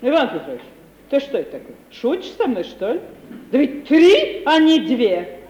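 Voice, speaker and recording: A woman's voice: high-pitched, huge pitch swings, moderate pace, warm tone, dull audio. An actress playing a flustered, gullible middle-aged provincial lady landowner, plaintive and fussy, in a theatrical radio comedy. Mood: indignant. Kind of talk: 1950s Russian radio theatre comedy acting. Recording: echoey vintage 1950 radio recording, faint noise.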